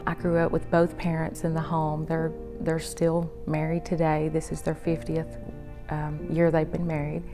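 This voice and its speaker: A woman's voice: sits low, completely flat delivery, normal speed. A woman from Georgia, speaking simply and plainly about her life. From Kingston, Georgia. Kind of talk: personal recovery testimonial. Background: soft music.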